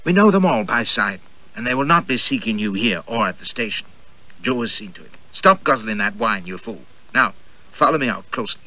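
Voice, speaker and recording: A tight-lipped young woman gives clipped orders in a low, hushed voice, as a man plays her. It's a storybook reading. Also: lively intonation, a little fast, rough recording. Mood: stern. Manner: curt, clipped, hushed commands. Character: small, sharp, stern young woman.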